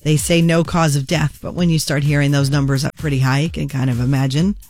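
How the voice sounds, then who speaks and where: A woman's voice: sits low, steady tone, fairly quick, a little mellow, soft background music. A woman co-hosting a morning radio show, chatting casually and reading celebrity news with quips. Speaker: radio co-host. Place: radio studio. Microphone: studio microphone.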